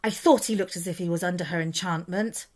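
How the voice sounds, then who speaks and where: A woman's voice: fairly low pitch, fairly quick, natural voice. A woman voicing a kindly male beaver who speaks earnestly and urgently.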